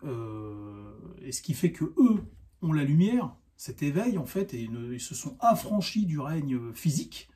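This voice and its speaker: A man giving an unscripted, argumentative opinion monologue, insistent and halting, often repeating himself. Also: a little high, big pitch swings, dark tone. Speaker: online video commentator.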